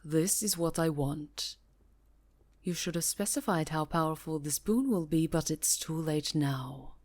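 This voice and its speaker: A woman's voice: deep voice, unhurried, darkish tone. A woman voicing a haughty, menacing vampire countess with a silky, imperious delivery in a fantasy roleplay. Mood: cold, threatening. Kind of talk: fantasy ASMR roleplay acting.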